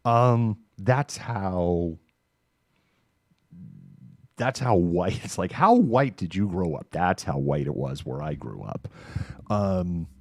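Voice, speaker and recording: A middle-aged American man hosting a livestream, talking casually and candidly in a halting, informal monologue. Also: low-pitched, lively intonation, dry recording, clean studio sound. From Massachusetts, United States. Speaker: livestream news host. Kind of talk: casual monologue of personal reminiscence.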